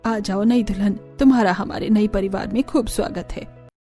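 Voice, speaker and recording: A woman's voice: mid-range voice, normal speed, mellow, faint music behind, lightly acted. A woman voicing a homely, caring middle-aged mother in a cartoon story, motherly and fretful. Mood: welcoming, directing.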